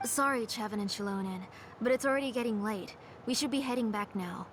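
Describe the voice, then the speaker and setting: A woman voicing a calm, gently firm mother in a video game. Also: a little high, brisk, slight background noise, close to an everyday voice.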